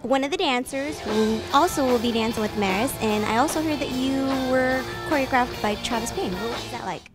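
A woman's voice: a little high, fairly quick, loud music behind the voice, poor audio. A young woman interviewing a dancer, upbeat and encouraging, speaking into a handheld mic in an indoor lounge. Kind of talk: entertainment interview. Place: indoor lounge at a rehearsal studio.

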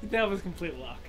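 A man giving chatty, joking commentary on a video game in a casual style. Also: high voice. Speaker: gaming co-commentator. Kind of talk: casual let's play commentary. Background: video game music.

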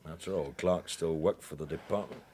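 An actor playing a menacing, sardonic British secret service interrogator in a radio crime drama, speaking in a measured, insinuating way. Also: male voice, extremely low pitch, steady tone, a little mellow, slight background noise.

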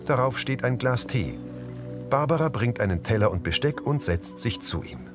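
A man's voice: mid-range voice, expressive, moderate pace, over music. A man reading scripted audio description that narrates on-screen action.

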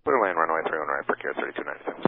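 A man's voice: extremely low pitch, animated, racing, creaky. A man speaking as an airline pilot, making check-ins and readbacks over radio. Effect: radio transmission. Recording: tinny radio, faint noise.

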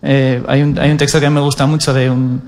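A man's voice: medium pitch, flat delivery, fast, darkish tone. A man in his thirties, a writer speaking on a stage panel through a handheld mic, hesitant with many fillers and tag questions. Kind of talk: panel discussion. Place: stage of a cultural centre auditorium.

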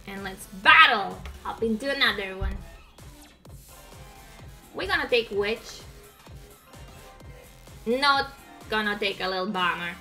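A woman's voice: mid-range voice, expressive, slow pace, vocal fry, pauses often, bright, forceful tone, soft background music. A young woman from Croatia with a Croatian accent, giving upbeat, chatty commentary on her own mobile gameplay from a desk at home. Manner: upbeat, chatty, hums along while playing. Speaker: gaming streamer.

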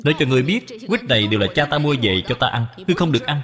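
A man's voice: mid-range voice, animated, racing, fairly bright tone, lightly acted. A man voicing a pampered, selfish young boy in a children's cartoon, blunt and demanding. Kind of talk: dubbed children's moral cartoon. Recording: long hall reverb, music behind.

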